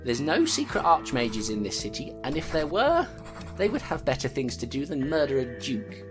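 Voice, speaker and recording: A woman's voice: very deep voice, big pitch swings, moderate pace. A woman playing a blunt, wry young constable who narrates her case and answers guardedly. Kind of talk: audio drama narration and dialogue. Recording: music playing behind.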